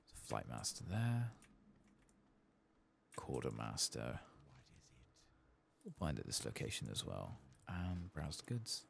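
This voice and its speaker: Very deep, gravelly soft-spoken man. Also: huge pitch swings, takes it slowly, long pauses, a little hiss or hum. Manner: muttered, absent-minded thinking aloud, casual swearing. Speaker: host of a gameplay channel. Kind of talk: soft-spoken ASMR gameplay commentary.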